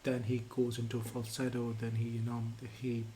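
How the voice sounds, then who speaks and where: A man's voice: mid-range voice, monotone, warm tone. Reflective man with faint background noise. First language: Urdu. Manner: reflective, halting, repeats words. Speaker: song reaction co-host. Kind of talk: casual reaction chat.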